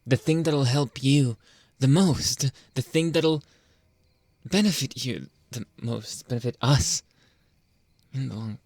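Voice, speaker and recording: A man playing a jealous, controlling young boyfriend in an intimate ASMR roleplay, halting and pleading, often dropping toward a whisper. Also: mid-range voice, darkish tone, dry recording. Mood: earnest, self-justifying. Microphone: close, dry microphone.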